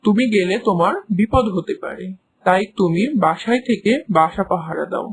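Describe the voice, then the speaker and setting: A woman's voice: fairly low pitch, normal speed, close to an everyday voice. A woman voicing a protective, sensible cat who gives careful advice in a children's cartoon. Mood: cautioning. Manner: careful, advising. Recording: faint background noise.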